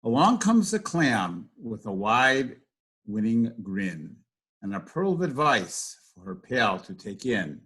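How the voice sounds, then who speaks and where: Older guy reading a kids book, singsong, husky, super animated pitch, takes it slow. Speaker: grandfather reading to children. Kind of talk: expressive picture-book reading.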